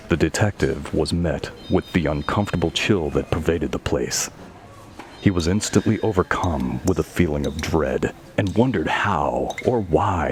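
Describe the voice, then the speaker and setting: A man's voice: low voice, slight background noise. A man reading scripted narration for a horror audio story.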